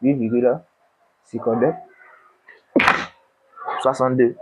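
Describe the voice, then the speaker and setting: A man with a cold, tutoring an econometrics exercise and dictating numbers slowly while asking himself questions. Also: mid-range voice, fairly even intonation, darkish tone, slightly rough, rough recording. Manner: slow, dictating numbers, asks and answers himself. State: has a cold. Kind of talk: screen-recorded worked exercise.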